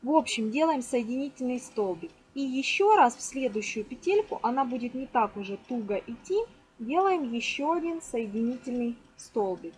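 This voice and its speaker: Woman, high voice, informal step-by-step craft tutorial, faint background noise, amateur home recording. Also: a little mellow, rough recording. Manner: fond of diminutives, explains step by step. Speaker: crochet tutorial host. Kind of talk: hands-on craft instruction, spoken informally. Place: indoors at a table.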